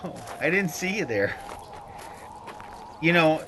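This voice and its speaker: A man's voice: a little high, very animated, slow pace. A man playing a sheepish lost hiker. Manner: casual, sheepish. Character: James, lost male hiker. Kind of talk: radio drama acting. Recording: noisy outdoor track. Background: clear noise.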